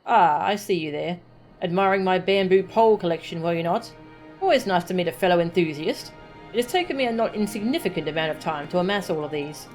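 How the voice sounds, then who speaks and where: A woman's voice: lowish voice, expressive, fairly quick, a little mellow, soft background music, lightly acted. A woman reading as a proud bamboo pole collector.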